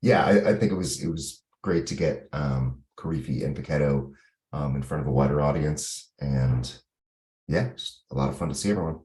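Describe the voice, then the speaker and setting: Very deep-voiced man in an echoey room. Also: moderate pace, a little mellow. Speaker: meeting participant reporting on an event. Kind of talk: informal work meeting update. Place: online video call. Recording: roomy, echoey meeting audio.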